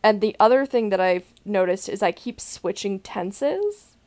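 A young woman with a deep voice, hosting a podcast in a candid, rambling way and laughing now and then mid-sentence. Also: expressive, unhurried, a little mellow. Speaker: podcast host. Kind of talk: casual podcast chat.

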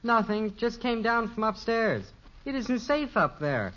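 A man's voice: high-pitched, sing-song, a little slow, fairly bright tone, full character voice. A male actor playing a casual, wisecracking teenage son in a 1950s radio sitcom, slangy and offhand. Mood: offhand, puzzled. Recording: dull, noisy 1950s radio recording.

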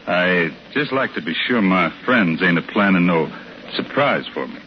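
An actor playing a touchy but polite armed cowboy bank robber in a 1950s radio drama, with a folksy, unhurried Western American drawl. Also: male voice, sits low, lively intonation. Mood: firm, threatening. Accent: American rural Western drawl. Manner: folksy, unhurried, quietly menacing. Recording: muffled, very noisy 1950s radio recording.

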